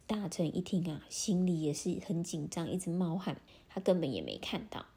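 A woman narrating a fairy tale for children in a gentle, expressive storytelling manner. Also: on the low side, normal speed, vocal fry, slightly rough.

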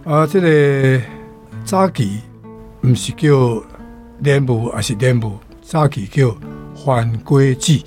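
An older man with a Taiwanese accent explaining vocabulary as a language teacher, in short, halting phrases. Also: medium pitch, a little mellow, soft background music.

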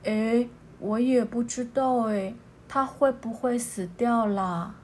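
A woman voicing a cautious owl in a storybook, speaking quietly and hesitantly. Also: fairly high pitch, fairly even intonation, slow pace, slight background noise.

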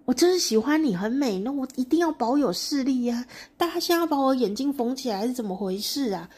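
A woman's voice: sits high, lively intonation, fairly quick, a little mellow, slightly rough, voice pushed up. An earnest, lovestruck young man, as a woman storyteller puts him on, pressing his case with one insistent question after another. The voice stays close to the teller's own, just a little raised. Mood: adoring.

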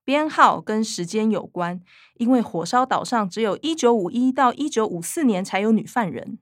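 An actress with a Taiwanese Mandarin accent voicing a matter-of-fact, bookish young daughter in a radio drama. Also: female voice, a little high, animated, normal speed, no room sound, clean studio sound, close to an everyday voice.

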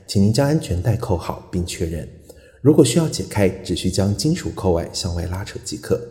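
Deep echoey male flight attendant, acted by a man. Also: dark tone. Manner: formal, instructional. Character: male flight attendant reading safety briefing. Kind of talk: scripted in-flight safety announcement. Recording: roomy, echoey hall sound.

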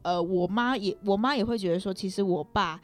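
A woman's voice: mid-range voice, a little fast. A young woman with a Taiwanese Mandarin accent, talking reflectively as a podcast guest and searching for her words. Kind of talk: reflective personal talk.

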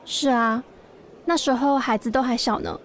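A woman's voice: a little high, moderate pace, slight background noise, lightly acted. An actress playing a chatty, friendly woman at a shop who encourages others, in radio drama dialogue. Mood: gossipy.

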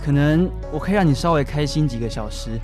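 A man's voice: a little high, expressive, fast, over music, natural voice. A man acting as a resigned, wounded bartender in a modern urban radio drama. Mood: bitter, hurt. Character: resigned, wounded male bartender about to close up. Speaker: actor.

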